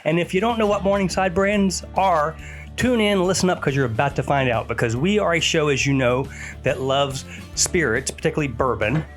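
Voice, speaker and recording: A man's voice: fairly high pitch, fairly quick, faint music behind. Man hosting a podcast, upbeat, easygoing, restarts his sentences. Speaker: podcast host. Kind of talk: casual chat.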